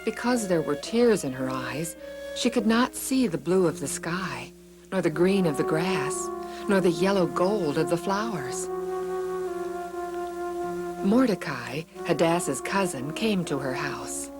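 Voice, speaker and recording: Woman reading children's stories, slow and level. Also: on the low side. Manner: expressive, deliberate storytelling. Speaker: storyteller. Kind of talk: scripted children's Bible story narration. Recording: music behind the reading. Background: background music.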